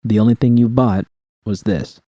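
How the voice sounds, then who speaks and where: Guy playing a teasing seductive woman in an audio story, coaxing, real dark soft tone, close dry sound. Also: medium pitch, steady tone, moderate pace, dry recording, natural voice. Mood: reassuring. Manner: coaxing, purring.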